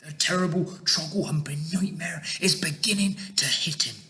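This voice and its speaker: A gentle, cautious giant from a storybook, played by a male reader explains things earnestly. The voice sits on the high side and keeps a hushed, lively tone, with quaint, muddled phrasing. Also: a little slow. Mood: hushed, wary.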